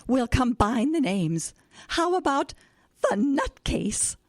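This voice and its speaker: A woman's voice: high-pitched, sing-song, slow pace, no room sound, heavily acted. An actress playing an airy, stubborn older hippie grandmother with a wry, theatrical manner in an audio comedy drama. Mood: cheerfully unbothered.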